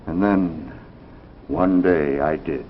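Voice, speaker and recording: An actor playing a heartbroken, lovelorn husband in a 1950s television drama, with an earnest, wistful delivery. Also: male voice, rumbling low, slow. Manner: earnest, wistful, repeats phrases. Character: heartbroken, lovelorn husband recounting his lost wife. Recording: muffled 1950s broadcast with faint hiss.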